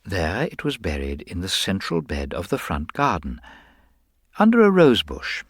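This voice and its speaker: A low-voiced, mild-mannered male investigator acted out by a male reader in a detective audio drama. He is polite and shrewd, murmuring and hesitating, yet his pitch moves expressively. The sound is dry and close. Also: huge pitch swings, unhurried, slightly rough, no room sound, heavily acted. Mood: explaining calmly.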